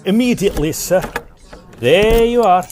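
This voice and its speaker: Man playing Victorian train conductor, highish voice, lively swoops, formal servile courtesy, period audio drama. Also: a little slow, over music. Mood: courteous.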